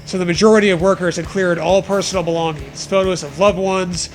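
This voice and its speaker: A man's voice: sits high, steady tone, brisk, low-quality recording. A young man casually reading fan fiction aloud and riffing on it in an amused, jokey way, with some swearing. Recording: music playing behind the voice. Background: background music.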